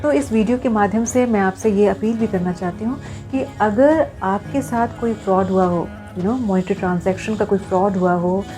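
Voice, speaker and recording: A woman's voice: mid-range voice, normal speed, hardly any pauses, warm tone, soft background music. A woman talking to viewers in an earnest, explanatory vlog style, mixing in English phrases. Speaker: vlogger.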